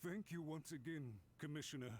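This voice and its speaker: An actor playing a humble, deferential clan official in a video game, speaking formally and respectfully. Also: male voice, on the high side, a little fast, a little hiss or hum, close to an everyday voice. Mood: humbled, grateful. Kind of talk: scripted game voice acting.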